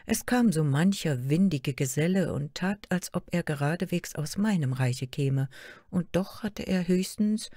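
A woman's voice: fairly low pitch, lively intonation, unhurried, mellow, no echo, clean studio sound. A woman voicing a regal, motherly queen of fantasy, stately and soothing.

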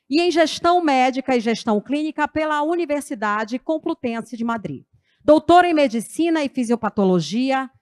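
A woman's voice: on the high side, dry recording, studio quality. A young woman with a Brazilian Portuguese accent, formally reading a speaker's introduction from notes at a lectern mic in a university auditorium. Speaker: master of ceremonies. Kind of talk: formal introduction read aloud. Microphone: handheld mic at lectern.

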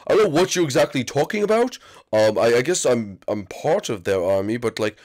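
A man's voice: mid-range voice, sing-song, fairly quick, darkish tone, lightly acted. A man voicing a timid, bewildered young captive who stammers nervously.

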